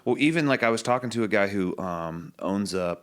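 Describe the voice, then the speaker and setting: A singer-songwriter with a low voice, talking thoughtfully as a guest on a podcast, musing through ideas with loose restarts. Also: male voice, expressive, a little fast, a bit bright. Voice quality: low singing voice. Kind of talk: reflective podcast conversation.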